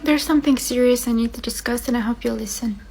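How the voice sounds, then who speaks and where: A woman's voice: fairly high pitch, fairly even intonation, fast, creaky, over loud music. A young woman from Finland, speaking earnestly and with passion to her viewers, with frequent fillers. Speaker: vlogger. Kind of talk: earnest talk to camera.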